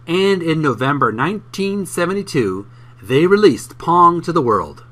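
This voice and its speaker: A man reading a book aloud for his video channel in an emphatic, deliberate way, occasionally stumbling over words. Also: fairly high pitch, sharp tone.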